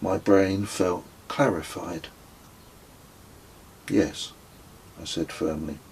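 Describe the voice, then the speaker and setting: A man reading his own memoir as an audiobook, with husky, measured, descriptive narration. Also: low voice, a little mellow, slight background noise. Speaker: author reading own book.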